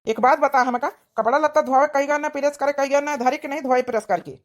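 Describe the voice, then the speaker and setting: Woman playing exasperated Awadhi husband, high-pitched. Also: a little fast, close to an everyday voice. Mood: angry. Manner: grumbling, berating. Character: tired, exasperated working village husband. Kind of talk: cartoon village comedy acting.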